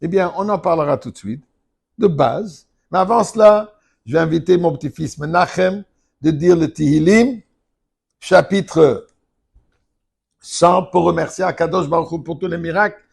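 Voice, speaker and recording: An older man, a rabbi, giving a Torah lesson, emphatic and at times forceful. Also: fairly high pitch, moderate pace, creaky, breathy edge. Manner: emphatic, rising to forceful outbursts.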